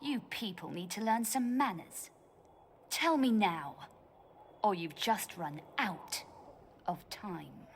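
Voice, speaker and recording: A cool, self-assured game heroine, speaks in measured, slow lines. She is curt and commanding, with slight noise in the background. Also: mid-range voice, lively intonation. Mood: stern, threatening. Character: cool, commanding female adventurer. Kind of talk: video game cutscene acting.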